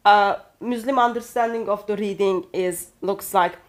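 A young woman with a Turkish accent and a mid-range voice. She speaks English carefully, pausing with fillers and using non-native phrasing. She sits in a study lined with books. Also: mid-range voice. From Turkey. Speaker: co-host. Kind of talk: conversational discussion.

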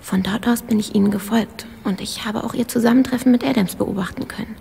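An actress playing a secretive, guilt-ridden informant in a radio play, with a breathy, half-whispered, gravelly voice and a halting, cryptic manner. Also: female voice, a little high, steady tone, warm tone, heavily acted. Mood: wary, secretive. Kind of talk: German radio play acting. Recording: reverberant hall, faint background noise.

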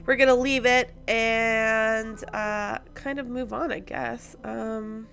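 High-pitched woman doing game commentary. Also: fairly even intonation, slow, creaky, fairly bright tone, soft background music. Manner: thinks aloud, exclaims in surprise. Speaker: host of a gaming series. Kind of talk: casual let's play commentary.

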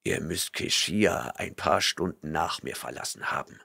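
A burly barbarian warrior growls his questions low and quiet, impatient and menacing, as a male narrator voices him. His voice drops into a hushed, rough register. It is heard in a storybook reading. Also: a little slow, exaggerated voice. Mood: gruff.

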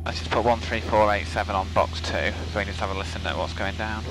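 A man's voice: lowish voice, steady tone, fast talker. A man talking casually in a light aircraft cockpit through an aviation headset. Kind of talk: casual cockpit talk and radio calls. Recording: loud engine noise, headset intercom audio.

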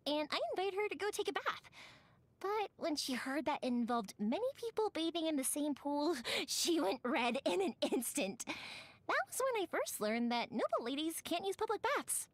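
A woman voicing a cheerful, warm-hearted young fireworks maker who tells stories in an animated, chatty way, as a video game character. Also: sits high, no echo. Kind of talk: video game character voice lines, conversational storytelling.

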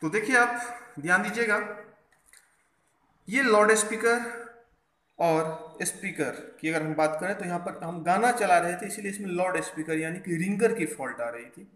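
Male repair instructor, bright forceful tone, explanatory tutorial delivery, echoey workbench recording. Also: sits high, normal speed. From India. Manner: explanatory, mixes in English technical terms. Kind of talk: hands-on tutorial narration. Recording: echoey room, faint background noise.

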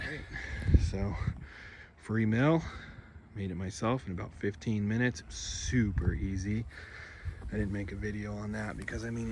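Low-voiced young man rambling outdoors. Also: background noise. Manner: relaxed, rambling, many ums. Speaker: video creator. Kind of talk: casual narration while working. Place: outdoor backyard.

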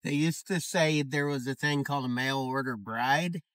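A man in his forties trades dry quips while he drives a utility vehicle outdoors. His voice sits at a middle pitch and sounds close and dry on the phone that a passenger is holding. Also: normal speed, fairly bright tone, no room sound. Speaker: homesteader, co-host. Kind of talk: casual banter. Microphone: phone held by a passenger.

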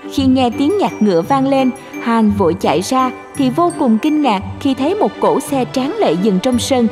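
A woman reading scripted narration for an animated fairy tale. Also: sits high, normal speed, over music.

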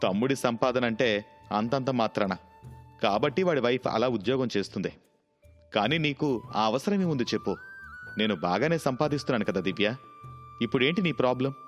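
A man's voice: mid-range voice, expressive, fairly quick, pauses often, in a large hall, soft background music, close to an everyday voice. A man voicing a practical, dismissive husband and breadwinner who reasons through a moral story dialogue. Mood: reasoning, impatient.

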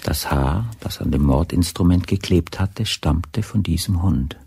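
An actor playing a sober narrator of a radio crime story, measured and matter-of-fact. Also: male voice, deep voice, expressive, vocal fry, dark tone, breathy edge, heavily acted.

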